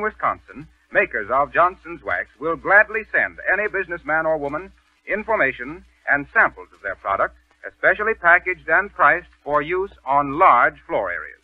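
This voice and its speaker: A man's voice: on the high side, no echo. A man announcing a 1930s radio advertisement in a polished, formal sales style. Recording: tinny, band-limited 1930s radio recording.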